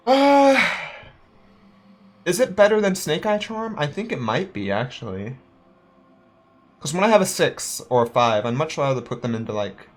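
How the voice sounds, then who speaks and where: A man hosting a gaming channel, chatting casually and thinking aloud as he works out his moves and counts up his dice. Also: mid-range voice. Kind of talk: let's play commentary.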